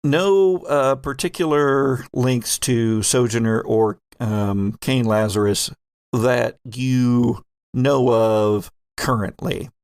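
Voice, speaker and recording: A man's voice: medium pitch, steady tone, takes it slowly, darkish tone, clear, resonant tone, no room sound. A man hosting as game master, narrating an improvised tabletop roleplaying game. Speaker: game master and podcast host.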